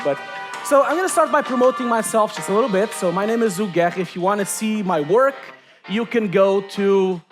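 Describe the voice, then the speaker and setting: A middle-aged man from Portugal giving a joking, chatty conference presentation to a live audience. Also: high-pitched. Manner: self-mocking, chatty, many fillers.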